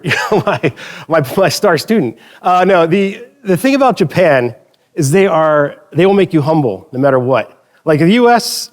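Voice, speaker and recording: Guy from the philly area giving a conference talk, average pitch, jokes around and restarts his sentences a lot. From Philadelphia area, United States. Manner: chatty, joking asides, frequent self-corrections. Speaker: conference speaker.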